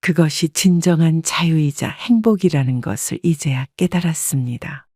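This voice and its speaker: A woman in her sixties narrating her own story in the first person, calm and reflective. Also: low-pitched, dry recording, studio quality.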